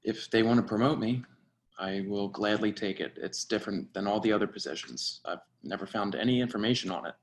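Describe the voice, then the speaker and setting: A man acting a dry, by-the-book surveillance agent and mentor in a screenplay reading, with a measured, instructive delivery. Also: on the low side, slight background noise, lightly acted.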